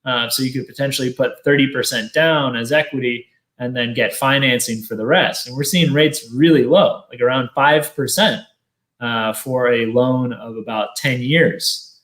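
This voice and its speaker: A man's voice: medium pitch, normal speed, fairly bright tone. A man co-hosting a business webinar, explaining investment options with many fillers. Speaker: webinar co-host. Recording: echoey room.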